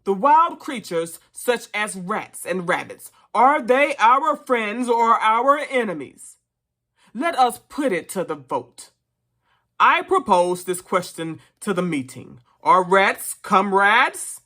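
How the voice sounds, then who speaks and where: A reader voicing an elderly boar, a solemn revolutionary orator addressing fellow animals in a storybook, with deliberate, emphatic delivery. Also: mid-range voice, sing-song, pushed and bright, full character voice. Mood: questioning, declamatory. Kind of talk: dramatic storybook reading of a speech.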